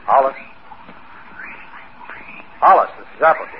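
An actor playing a plain-spoken, halting spaceman facing death in a 1950s radio drama. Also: mid-range voice, expressive, lightly acted. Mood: ashamed, urgent. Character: gruff dying spaceman softened by shame. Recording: muffled, hissy 1950s radio recording.

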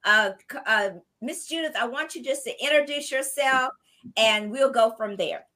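A woman host with a bright, forceful voice talks effusively and with a preacher's enthusiasm. Her voice sits a little above average in pitch. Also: moderate pace. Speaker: podcast host and writing coach. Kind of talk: hosting a podcast book launch.

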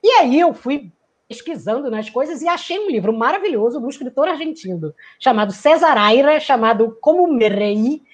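A woman's voice: sits high, lively intonation, normal speed, breathy edge. A woman who works in theater giving a reflective talk, musing aloud, searching for words and acting out quoted lines.

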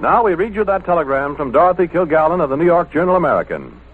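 A man's voice: on the high side, big pitch swings, quick pace, slightly rough, faint music behind. A man announcing on 1940s radio with a polished, emphatic salesman's delivery. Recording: tinny old radio broadcast.

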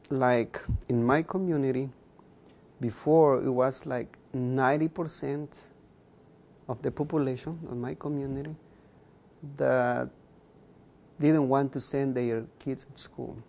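Gravelly man with a K'iche' Mayan accent. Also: medium pitch, steady tone, slow pace, long pauses, darkish tone. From Guatemala. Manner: earnest, repeats phrases for emphasis. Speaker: guest. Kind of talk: earnest interview talk.

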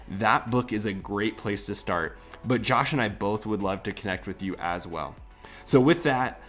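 An American man hosting a podcast in a casual, conversational way. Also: on the low side. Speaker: podcast host.

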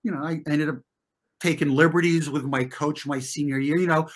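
A man's voice: on the high side, steady tone. Middle-aged American man reflecting in conversation. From US, New York and New Jersey. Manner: informal and halting, circling back to rephrase. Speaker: interview guest, former competitive swimmer.

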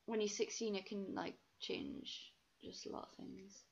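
Casting: young woman, soft half-posh Geordie, creaky voice, candid casual podcast guest, slightly lo-fi sound. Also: mid-range voice, little pitch movement, measured pace. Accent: soft Geordie mixed with posh English. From North East England. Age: young adult. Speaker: podcast guest, ballet dancer. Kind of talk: casual chat.

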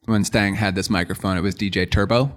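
Deep-voiced man telling lively stories. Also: fast. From Scarsdale, New York. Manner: animated storyteller, joking. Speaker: guest, restaurant guide co-founder. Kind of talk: casual podcast interview.

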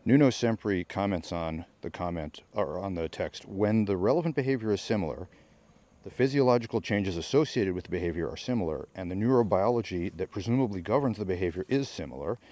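A man reading a research article aloud in a measured, explanatory way, with informal asides and the odd self-correction. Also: deep voice, lively intonation, dark tone. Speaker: podcast reader and commentator.

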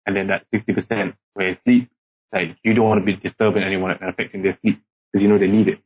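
A man's voice: low voice, flat delivery, fast talker, breathy edge. Young man, British accent, from Singapore, rambling, lots of fillers, muffled recording. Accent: British English, code-switching from Singaporean. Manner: rambling, heavy use of filler words. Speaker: podcast guest. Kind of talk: casual chat. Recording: muffled lo-fi podcast audio.

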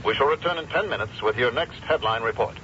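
An actor playing a doleful radio news announcer who delivers headlines in a grave, sensational, declamatory way. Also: male voice, medium pitch, animated, fast talker, lightly acted. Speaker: radio newsreader. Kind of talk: dramatic headline newsreading in a radio drama. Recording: dull radio sound with faint noise.

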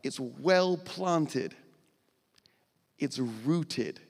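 A male pastor preaching a sermon on a church stage into a microphone, explaining in a conversational way with dramatic pauses. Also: mid-range voice, animated, vocal fry. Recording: long hall reverb.

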